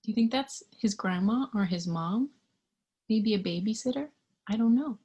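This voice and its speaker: A woman reading a picture book to young children in a gentle, singsong way, sometimes hushed, asking the listener simple questions with childlike wonder. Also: mid-range voice, normal speed, breathy edge. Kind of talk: interactive children's read-aloud with questions to the listener.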